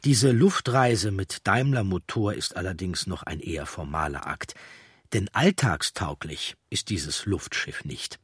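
A man narrating a history audiobook in a measured, factual reading style. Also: deep voice, slightly rough, dry recording, clean studio sound. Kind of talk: scripted documentary narration.

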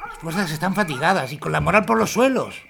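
Man acting a gravelly, embittered army colonel. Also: fairly high pitch, expressive, fast. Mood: insistent, exasperated. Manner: formal, insistent, sardonic. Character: embittered, defiant army colonel, worn down by defeat. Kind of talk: historical audio drama acting. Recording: noisy background.

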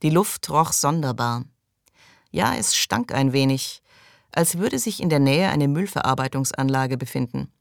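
A woman's voice: a bass voice, lively intonation, a little slow, creaky, dry recording, studio quality. A woman reading scripted literary narration as an audiobook narrator. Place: close, dry recording.

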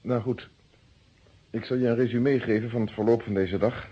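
An actor playing an earnest, thoughtful police inspector in a radio play, speaking formally on a muffled old recording. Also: male voice, medium pitch, steady tone, moderate pace, husky, lightly acted. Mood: businesslike. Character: earnest police inspector working a murder case.

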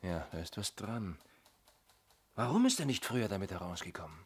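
An actor playing a keen, questioning junior police detective in a 1970s German radio play, inquisitive and pointed, with a sharp, forceful voice. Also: male voice, on the low side, sing-song, a little fast, slightly rough. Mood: weary, questioning.

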